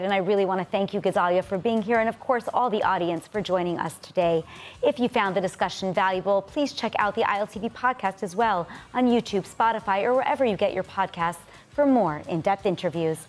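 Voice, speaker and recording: An American woman hosting a TV news interview in a studio, putting her questions clearly and closing with a polished sign-off. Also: medium pitch, a little fast. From United States.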